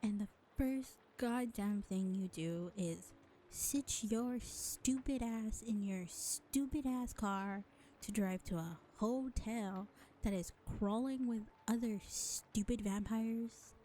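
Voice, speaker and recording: A woman's voice: medium pitch, slow, mellow, slight background noise, close to an everyday voice. A woman voicing an exasperated, sarcastic vampire in an audio roleplay, drawling with mockery and scorn. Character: sarcastic, exasperated, foul-mouthed female vampire.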